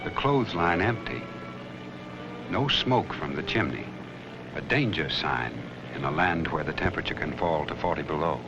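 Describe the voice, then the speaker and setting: Deep-voiced vintage film narrator, lively delivery. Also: male voice. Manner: dramatic, measured storytelling. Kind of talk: scripted 1960 educational film narration. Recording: dull vintage film soundtrack. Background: music.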